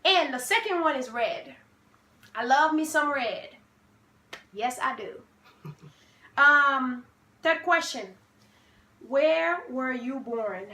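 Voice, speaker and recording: A young woman from Haiti with a high, bright voice chats at an easy, unhurried pace. She is playful and self-assured and laughs often. The room adds a noticeable echo. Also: expressive. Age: 20s. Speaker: vlogger host. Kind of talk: casual sibling Q&A chat.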